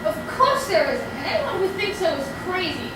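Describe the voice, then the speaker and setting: Very high-pitched actress as a snippy young woman. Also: sing-song, moderate pace. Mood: indignant. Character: prickly, indignant young woman, snippy and defensive. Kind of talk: theatrical comedy acting. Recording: distant, very echoey, noisy stage recording.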